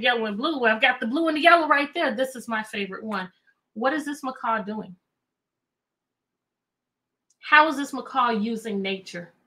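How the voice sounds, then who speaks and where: A middle-aged American woman reading aloud to children as a teacher, animated and chatty, often asking questions. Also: a little high. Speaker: teacher and read-aloud host. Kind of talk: interactive children's read-aloud lesson. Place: indoor room with bookshelves.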